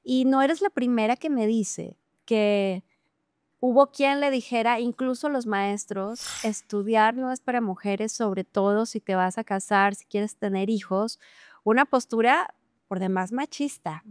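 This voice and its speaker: A middle-aged woman hosts a podcast with effusive enthusiasm. Her voice sits on the higher side and sounds smooth and clean. It is recorded close and dry, with no room around it. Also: unhurried, no echo. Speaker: podcast host. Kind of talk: interview conversation.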